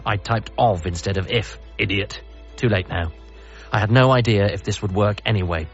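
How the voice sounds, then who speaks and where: A man's voice: on the low side, moderate pace, lo-fi sound, faint music behind, close to an everyday voice. An actor playing a frantic, paranoid son who earnestly believes he must save the Earth, in a radio comedy drama. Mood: earnest, urgent. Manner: earnest, conspiratorial, self-deprecating. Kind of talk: radio comedy drama, first-person narration and dialogue.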